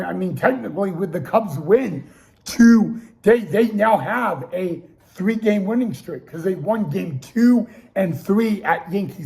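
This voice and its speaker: A man's voice: high-pitched, gravelly, a little mellow. A young man hosting a casual sports talk show, losing his voice and restarting often with stammered repeats. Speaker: podcast host.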